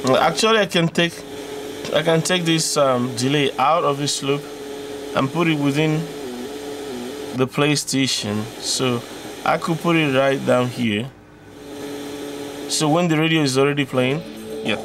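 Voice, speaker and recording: Man with creaky voice explaining electronics. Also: on the high side, background noise. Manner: repetitive, halting explaining with self-corrections. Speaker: electronics tutorial presenter. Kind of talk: informal step-by-step explanation.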